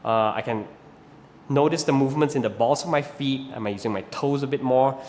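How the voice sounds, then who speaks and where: A man's voice: medium pitch, brisk, a little mellow, long reverb tail, a little hiss or hum. A man in his thirties from Malaysia with a Malaysian English accent, patiently explaining rope flow as an instructor and often checking in with "right?". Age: 30s. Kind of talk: instructional video talk.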